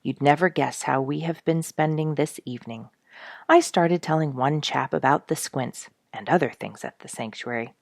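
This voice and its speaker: Wry, homesick soldier writing a letter home, deep gravelly read, voiced by a woman. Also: darkish tone, dry recording, clean studio sound. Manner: wry, musing letter reading. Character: male soldier writing home from a troopship, good-humored.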